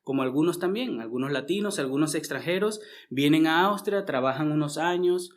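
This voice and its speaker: A man's voice: a little high, brisk. A man from Venezuela with a Venezuelan accent, preaching a conversational sermon to his congregation. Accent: Venezuelan Spanish. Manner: conversational, frequent tag questions and restarts.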